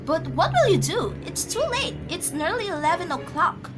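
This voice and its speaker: A woman's voice: very high-pitched, sing-song, normal speed, music in the background. A woman acting an anxious, demanding bedridden wife in an amateur radio drama, insistent and agitated. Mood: urgent pleading. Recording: noisy amateur home recording.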